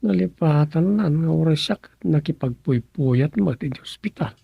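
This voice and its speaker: A man's voice: a little high, animated, moderate pace, gravelly, slightly rough, no room sound, highs cut off, exaggerated voice. A man with an Ilocano Filipino accent acting as an evasive, scheming village husband in a comic radio serial. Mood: sly muttering.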